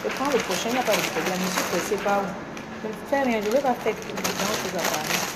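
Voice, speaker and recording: A woman's voice: medium pitch, expressive, a little fast. A woman from Cameroon with a Cameroonian French accent, lecturing her followers emphatically and repetitively in a live video from a train station. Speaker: social media personality. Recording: noisy station ambience behind her.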